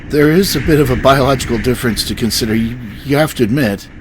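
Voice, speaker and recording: An actor playing a hesitant, dryly polite starship captain in a science fiction audio drama, stammering slightly, with noise behind him. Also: male voice, mid-range voice, quick pace, natural voice. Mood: reluctant, wry.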